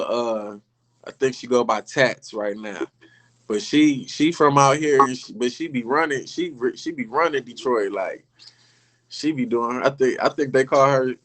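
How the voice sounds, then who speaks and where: A man's voice: medium pitch, a bit bright. Man from Illinois, laid-back and profane. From Illinois, North Chicago area. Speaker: guest rapper. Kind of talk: casual podcast conversation.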